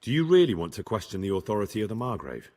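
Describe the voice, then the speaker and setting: An actor playing a dutiful young squire who speaks earnestly in a video game cutscene. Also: male voice, on the low side, big pitch swings, quick pace, a little mellow.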